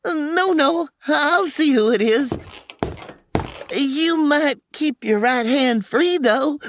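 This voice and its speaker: A woman's voice: high-pitched, lively intonation, slow pace, noisy background. A woman playing a motherly old frontier boarding-house keeper in a comedy audio drama, talking in a folksy, homespun way.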